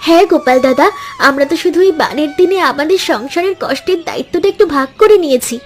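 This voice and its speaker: নারী কণ্ঠে চটপটে, চড়া গলার পরিশ্রমী গ্রাম্য মেয়ে. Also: female voice, high voice, lively intonation, quick pace. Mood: determined. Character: eager, hardworking village woman, loyal friend. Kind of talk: Bengali animated moral story dialogue.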